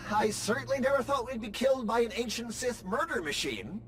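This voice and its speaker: A woman's voice: fairly high pitch, expressive, a little fast, over music, lightly acted. An actress playing a wry, arch woman in a science fiction game cutscene. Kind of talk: video game cutscene acting.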